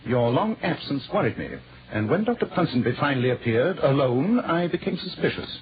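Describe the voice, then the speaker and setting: A man playing a brisk, commanding English detective with clipped, precise, theatrical diction in a 1940s radio drama. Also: mid-range voice, animated, natural voice. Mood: urgent, incisive. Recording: muffled, noisy 1940s radio recording.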